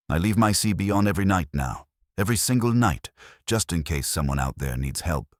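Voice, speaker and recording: Male horror narrator, extremely low voice with lively intonation, dramatic and suspenseful scripted storytelling. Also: no room sound, studio quality. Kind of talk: scripted first-person narration of trucker horror tales.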